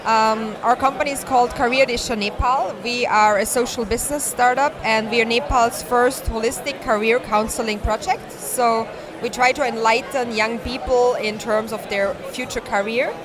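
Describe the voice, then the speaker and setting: Female startup interviewee, Austrian accent, slightly high voice, explaining her work amid a chattering conference crowd. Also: fairly even intonation, moderate pace. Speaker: social startup representative being interviewed. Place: busy tech conference venue. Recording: event hall with clear crowd chatter.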